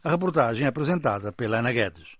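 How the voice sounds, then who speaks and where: A man presenting the news in a lively, sing-song way. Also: medium pitch, fast talker, slightly rough, no echo. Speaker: news anchor.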